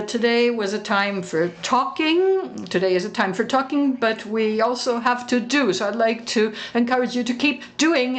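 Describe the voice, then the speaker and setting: A woman with a fairly high voice closing a conference session and reading out the next speakers. Her talk is informal and she often restarts her sentences.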